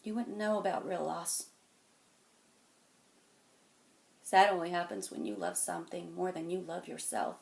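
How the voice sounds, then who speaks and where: A woman performing a dramatic monologue as a weary, wounded, stern mentor, speaking deliberately and intensely, moving between hushed and forceful. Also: on the low side, fairly even intonation, vocal fry. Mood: stern, cutting. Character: weary, wounded, stern mentor confronting a cocky young genius. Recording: echoey room.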